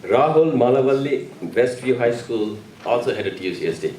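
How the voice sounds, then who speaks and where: A man's voice: mid-range voice, animated, moderate pace. A man presenting at an awards ceremony, announcing the names of recipients to an audience in a hall. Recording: echoey hall, distant mic, crowd noise.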